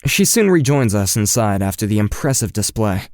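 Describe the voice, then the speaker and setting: A man's voice: on the low side, very animated, moderate pace, darkish tone, a little hiss or hum. A young man playing an earnest, awkward, wry teenage boy who narrates and talks in an audio drama. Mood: wry narrating. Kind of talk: first-person narration and dialogue in an audio drama.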